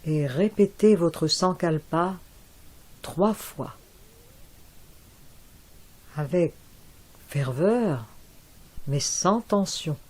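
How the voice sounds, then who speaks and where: A woman guiding a yoga nidra relaxation in a soft, calm voice with long pauses. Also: fairly low pitch. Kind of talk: guided relaxation.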